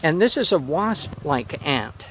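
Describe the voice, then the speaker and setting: A woman's voice: sits low, very animated, takes it slowly. A woman in her sixties giving an informal, emphatic nature explanation to camera, like a farm vlogger telling a story. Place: outdoors among trees on a farm. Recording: clear outdoor background noise. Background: outdoor ambience.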